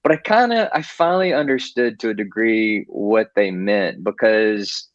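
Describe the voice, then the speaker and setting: A man's voice: lowish voice, expressive, normal speed, dry recording. A man from Texas, an author talking casually in an interview, rambling with many restarts and fillers. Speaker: author guest. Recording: dull lo-fi, dry.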